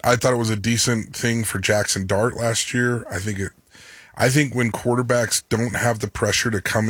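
A man in his thirties co-hosting a sports radio show, talking in a booming, animated way and laughing as he argues. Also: breathy edge, no room sound. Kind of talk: casual sports talk banter.

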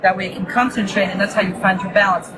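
A woman's voice: mid-range voice, fairly even intonation, fast, loud music behind the voice. A woman who grew up in Israel with Hebrew as her first language, chatting as a yoga teacher guest in a loose, upbeat way, restarting often and laughing easily. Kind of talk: casual interview chat.

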